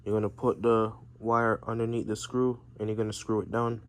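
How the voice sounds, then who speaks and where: A man giving an informal, unscripted how-to tutorial, explaining step by step in a halting way. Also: lowish voice, little pitch movement. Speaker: presenter of a how-to repair video.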